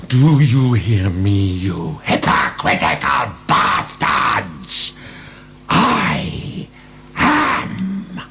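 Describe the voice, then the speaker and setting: An actor playing a proud, defiant, mocking French marquis in prison, declamatory and sardonic, in a radio drama. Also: male voice, lowish voice, huge pitch swings, very slow, gravelly, pushed and bright, soft background music, exaggerated voice. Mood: defiant, anguished.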